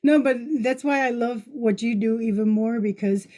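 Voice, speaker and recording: Female podcast host, mellow and fairly high voice, informal conversational delivery with halting rephrasing. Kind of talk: casual conversational interview.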